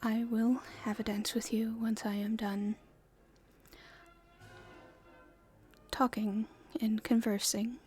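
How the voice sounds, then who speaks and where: Female performer as proper but secretly rebellious princess, ASMR roleplay. Breathy, hushed, unhurried, hesitant courtly manners over faint music. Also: a little high, level tone. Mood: politely strained. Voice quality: soft, breathy, often whispered. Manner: formal, polite, hesitant. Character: proper young princess at her debut, stifled, secretly rebellious.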